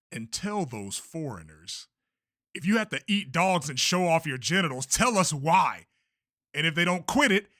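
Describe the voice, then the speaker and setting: A man's voice: fairly high pitch, bright, forceful tone, dry recording, clean studio sound. A man voicing a blustering older race promoter in a comic dub, theatrical, bossy and emphatic. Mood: irritated, bossy. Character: blustering older male race promoter, secretly fearful showman. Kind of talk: comic-book dub voice acting.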